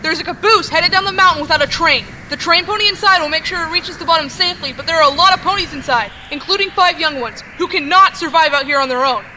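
A woman's voice: very high voice, fast talker, few pauses, sharp tone, a little hiss or hum, exaggerated voice, low-quality recording. A woman voicing an urgent, bossy young female pony adventurer, cross and insistent, in audiobook dialogue.

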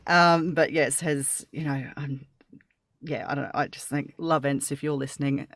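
A woman's voice: low-pitched, fairly even intonation, moderate pace, dry recording, clean studio sound. An Australian woman hosting a casual interview, giggly and rambling with lots of fillers. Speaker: podcast host.

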